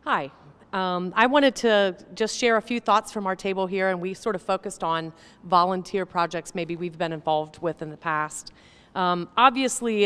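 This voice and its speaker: A woman's voice: fairly low pitch, fairly bright tone, clear, resonant tone. Conversational woman in a reverberant hall. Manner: conversational, asks "right?". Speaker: seminar participant. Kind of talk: sharing group thoughts at a seminar.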